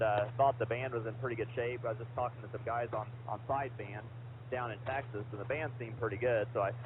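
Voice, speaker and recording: Man on a noisy, narrow-band radio. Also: medium pitch. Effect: radio transmission. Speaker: amateur radio operator, fairly new to the hobby. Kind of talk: casual ham radio chat. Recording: narrow-band shortwave radio, clear static noise.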